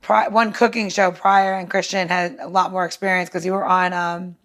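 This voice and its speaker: A woman chatting casually and excitedly, informal in her wording and often quoting bits of dialogue. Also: on the low side, normal speed. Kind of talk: casual chat.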